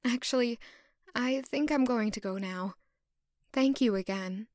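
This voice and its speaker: A woman playing a gentle, self-doubting young castle maid in an audio drama, speaking softly and earnestly. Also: on the high side, unhurried, no room sound, clean studio sound, close to an everyday voice. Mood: pensive. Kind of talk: audio drama acting with intimate first-person narration.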